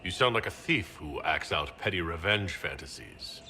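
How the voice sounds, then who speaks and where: A man voicing a measured male leader in a video game cutscene, asking calm, skeptical questions. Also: low voice, a little hiss or hum, heavily acted.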